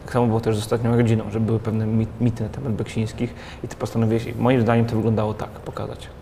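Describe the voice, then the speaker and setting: Fast-talking interviewer man, lowish voice. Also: little pitch movement, creaky, warm tone, slight background noise. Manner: quick, eager, lots of back-channel "mhm". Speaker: interviewer, host. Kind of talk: conversational interview questions. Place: studio set in a large glass-walled building.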